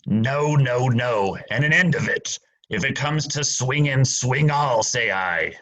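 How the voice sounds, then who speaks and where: A man plays an old sea captain gone to drink, rough and fearful. He talks in salty sailor dialect at a middling pitch, swinging between pleading and bluster. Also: expressive, slow, fairly bright tone, a little hiss or hum. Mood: defiant. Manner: gruff seafaring dialect, pleading. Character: old drunken male pirate captain, frightened. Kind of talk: student radio play acting.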